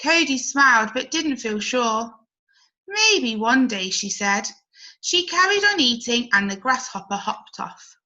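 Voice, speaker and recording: A woman reading a children's story aloud expressively, in an animated way aimed at young listeners. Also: a little high, unhurried, dull audio. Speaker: primary school storyteller.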